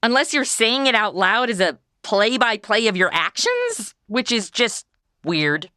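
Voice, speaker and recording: A woman's voice: on the high side, sing-song, measured pace, pushed and bright, smooth, no echo, exaggerated voice. Comedy audio play: sassy boy-crazy gal, played by a woman, wildly sing-song, dry booth sound. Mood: mocking.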